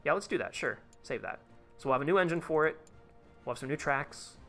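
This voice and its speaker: A man's voice: fairly high pitch, expressive, brisk, creaky, a bit bright, soft background music. A man live-streaming a tycoon game, chatting casually with his viewers in a rambling, profane way while he plays. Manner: rambling, profane, talks to chat while playing.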